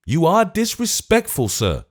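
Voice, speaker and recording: A man's voice: on the high side, wildly swooping pitch, a little slow, darkish tone, voice pushed up. A man voicing a testy, fussy, self-important Lord Mayor. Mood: testy, fretful. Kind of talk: audiobook character voice.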